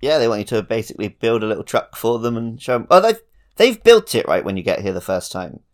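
A man with a fairly low voice talks as a podcast co-host. His delivery is casual and conversational, as in a relaxed discussion of video games between two players. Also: fast.

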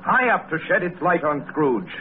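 A man's voice: on the high side, very animated, moderate pace, a little hiss or hum. A man narrating a literary story dramatically on old-time radio, in a flowing, declamatory manner. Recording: tinny old radio, faint music.

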